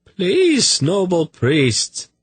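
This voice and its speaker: Man voicing a fussy, nervous, high-pitched pleader. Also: huge pitch swings, very slow, slightly rough, no echo, full character voice. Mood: anguished pleading. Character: nervous, apologetic, coaxing male servant, fussy pet owner.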